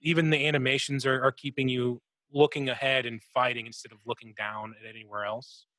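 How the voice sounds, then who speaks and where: A man co-hosting a casual gaming podcast, thinking aloud in a halting way and often repeating his words. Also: mid-range voice, flat delivery, pushed and bright, no echo, low-quality recording. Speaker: podcast co-host.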